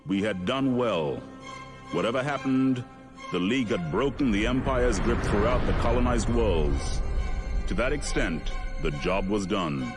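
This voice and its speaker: Solemn, weary space war veteran over music. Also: male voice, fairly low pitch, animated. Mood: grave. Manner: grave, measured, reflective. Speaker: game cutscene narrator. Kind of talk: dramatic scripted narration. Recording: music behind the voice. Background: prominent music.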